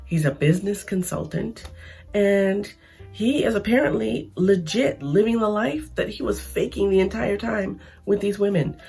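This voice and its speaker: Hoarse Canadian woman vlogging. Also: mid-range voice, normal speed, mellow, faint music behind. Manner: chatty, emphatic, frequent restarts. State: losing her voice, hoarse. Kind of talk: casual opinion vlog.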